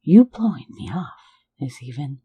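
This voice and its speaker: A woman voicing a teasing, flirtatious phantom thief in an ASMR roleplay, in a soft, intimate whisper right at the mic. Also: sits low, lively intonation, measured pace, slightly rough, phone-quality sound, exaggerated voice. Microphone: close mic for ASMR.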